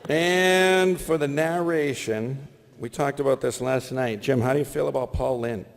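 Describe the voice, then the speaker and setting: A man's voice: medium pitch, a little slow, creaky. A man hosting a live comedy show in an echoey hall, casually announcing and joking with the cast and audience. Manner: jokey, addresses cast and audience. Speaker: host of live voice-acting show. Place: stage at a comic convention.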